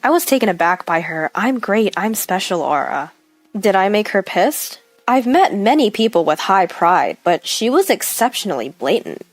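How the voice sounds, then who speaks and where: A woman's voice: mid-range voice, very animated, moderate pace, no room sound. A woman reading a scripted first-person story as its narrator.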